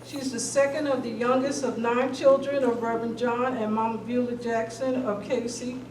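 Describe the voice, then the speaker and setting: Woman, high voice, emcee reading introductions, echoey hall, background noise. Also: little pitch movement, normal speed, darkish tone, far from the mic. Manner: reads from a script. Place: hall at a museum reception.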